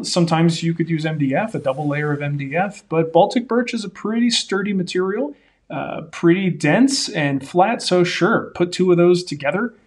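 A man in his forties co-hosting a live woodworking Q&A, giving practical advice in a relaxed, folksy way. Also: high-pitched. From Denver area. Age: 40s. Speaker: woodworking show co-host. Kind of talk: live-stream Q&A, casual chat.

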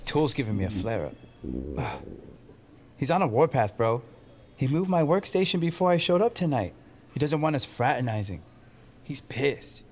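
An actor playing a chummy, grumbling emergency dispatcher with slangy, informal talk in a fiction podcast. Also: male voice, medium pitch, very animated, normal speed, pauses often, a little mellow. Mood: worried, grumbling. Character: lively, grumbling, chummy male 911 dispatcher.

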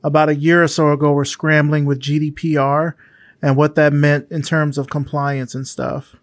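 A man's voice: a little high, flat delivery, moderate pace, dry recording, clean studio sound. A man hosting an interview podcast, asking friendly questions and giving short replies. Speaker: podcast host.

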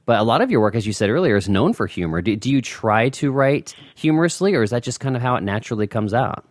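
A man hosting a podcast, asking questions in a relaxed, attentive way. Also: medium pitch, fast talker, no room sound, clean studio sound. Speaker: podcast host. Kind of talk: casual interview.

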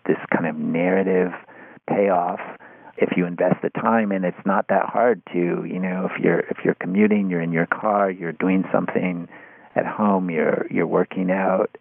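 A man giving conversational commentary as an interview guest, haltingly searching for words and repeating them as he goes. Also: low-pitched, steady tone, vocal fry, no room sound. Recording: tinny phone-like line.

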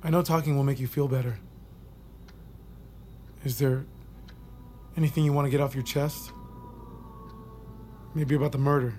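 A man playing an uneasy military doctor in an audio drama, questioning in a measured, hesitant, coaxing way. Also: mid-range voice, fairly even intonation, a little mellow, slight background noise, close to an everyday voice. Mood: careful, coaxing. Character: probing, uneasy male military doctor interviewing an accused recruit. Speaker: voice actor in audio fiction. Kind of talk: naturalistic audio drama acting.

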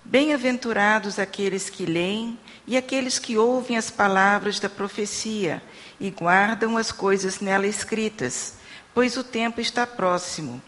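Woman interpreter in a reverberant church hall. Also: mid-range voice. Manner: steady, measured reading of scripture. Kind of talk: consecutive interpreting and scripture reading. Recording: long hall reverb with faint room noise.

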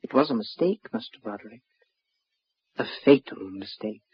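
An actor playing a coldly polite, menacing Martian villain in a 1950s radio drama, speaking formally and with gloating courtesy. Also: male voice, on the low side, animated, normal speed, slightly rough, no room sound. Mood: silky threat. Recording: muffled vintage radio recording.

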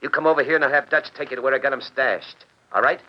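An actor playing a gruff, greedy racketeer boss in a 1940s radio crime drama, with slangy gangster talk. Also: male voice, mid-range voice, fast, lightly acted. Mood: wary, bargaining. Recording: muffled old 1940s radio broadcast.

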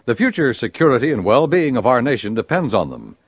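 A man's voice: medium pitch, sing-song, fairly quick, breathy edge, dry recording. A male announcer reading an earnest, formal public service appeal on an old radio broadcast.

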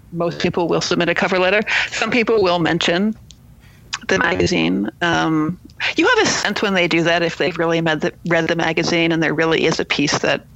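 A woman answering as an interview guest, an editor speaking in a measured, hesitant, understated way. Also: lowish voice, vocal fry, a bit bright, no echo, poor audio. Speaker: guest literary magazine editor.

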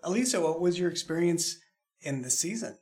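A man's voice: a little high, expressive, fairly quick, darkish tone. A man hosting a conversational podcast roundtable as the show's creator. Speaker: host, show creator.

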